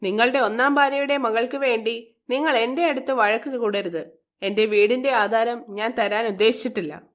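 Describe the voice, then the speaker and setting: A woman's voice: fairly high pitch, normal speed, natural voice. A woman voicing a spiteful, scheming middle-aged stepmother in a moral story, scolding and contemptuous. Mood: angry refusal.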